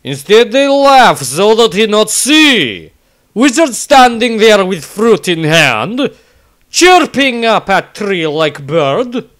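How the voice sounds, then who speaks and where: A scornful, simple-spoken warrior from a fantasy audiobook. The voice is pitched way up, and the words come slowly in blunt, broken sentences. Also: male voice, sing-song, fairly bright tone, full character voice. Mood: mocking, baffled. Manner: slow, halting broken grammar.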